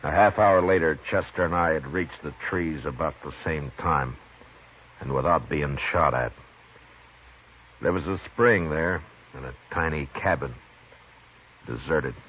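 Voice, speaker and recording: Casting: grim American lawman, very low voice, terse and steady; muffled vintage radio western. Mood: grim, measured. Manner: terse, measured. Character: steady, grim frontier marshal, a grown man. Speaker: lead character and narrator. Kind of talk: 1950s western radio drama acting. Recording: muffled, noisy 1950s radio recording.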